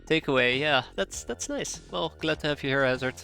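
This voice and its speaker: A man's voice: on the high side, moderate pace, vocal fry, pushed and bright, soft background music. A man in his thirties with a cold and a stuffy nose, streaming a strategy game live, thinking aloud and drifting off track as he chats with viewers. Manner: rambling, thinking aloud, easily sidetracked. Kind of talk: live gameplay commentary, chatting with viewers.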